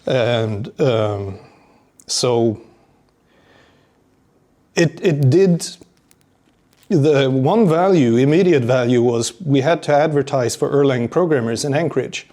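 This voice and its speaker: A man from Sweden, a programmer talking as a guest in a casual interview at a conference, halting with many pauses and small chuckles. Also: medium pitch, lively intonation, dark tone. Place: conference venue.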